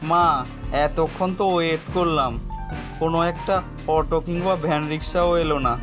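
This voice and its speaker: A man acting a high-voiced, determined son. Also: fairly even intonation, a little slow, close to an everyday voice. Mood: worried. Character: determined, pleading son travelling with his mother at night. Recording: music behind the voice.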